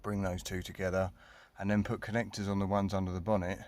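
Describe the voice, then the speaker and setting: A man's voice: sits low, little pitch movement, fast, a little mellow. A British man giving a casual hands-on walkthrough, informal and colloquial, thinking aloud as he goes. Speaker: hobbyist vlogger. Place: in and around a parked car.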